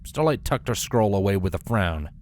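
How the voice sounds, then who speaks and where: A man narrating a scripted story in a dramatic, expressive storytelling manner. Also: lowish voice, normal speed.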